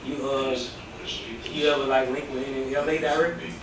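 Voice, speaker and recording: A man interviewing a director, asking halting questions with fillers. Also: medium pitch, fairly even intonation, distant mic. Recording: roomy, off-mic, noisy.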